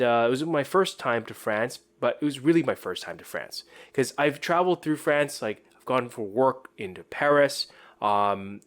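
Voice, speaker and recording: A man from China with a medium-pitched voice talks casually on a podcast. He speaks in anecdotes and repeats key words for emphasis. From born in China. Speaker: podcast participant.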